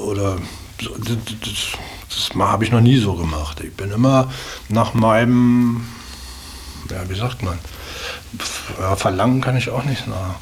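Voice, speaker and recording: Middle-aged man, slightly low pitch, rough husky tone, unscripted reflective talk, halting, word repetitions. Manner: halting, searching for words, repeats words. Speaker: interviewee.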